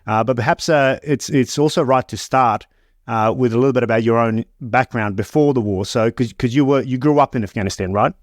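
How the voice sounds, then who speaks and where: A man hosting an interview podcast, reading an introduction and then asking questions in a measured way, often restarting sentences. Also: mid-range voice, dry recording, clean studio sound. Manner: measured, stumbling restarts when unscripted. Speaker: podcast host.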